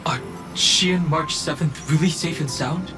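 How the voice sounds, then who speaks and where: A man's voice: fairly high pitch, unhurried, over music, natural voice. A man playing a stoic, serious young adventurer in a video game, earnest, formal and restrained. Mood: troubled. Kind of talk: video game character voice acting.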